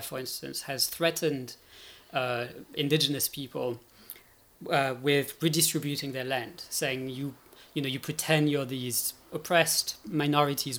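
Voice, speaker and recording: A man's voice: mid-range voice, a bit bright. A man speaking as an academic guest expert, explaining politics analytically and often checking in as he goes.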